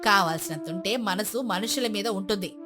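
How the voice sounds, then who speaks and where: Woman voicing a wise old village grandmother, lowered pitch. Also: moderate pace, over music, lightly acted. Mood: calm, wise. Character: kind, wise elderly village grandmother who sells fish.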